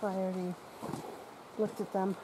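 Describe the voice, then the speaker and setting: A woman chatting casually off camera during a woods walk, speaking softly as she chimes in. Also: medium pitch, flat delivery, moderate pace. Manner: soft-spoken, chiming in from a distance. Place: outdoors in mountain woods. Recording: distant voice with loud outdoor noise.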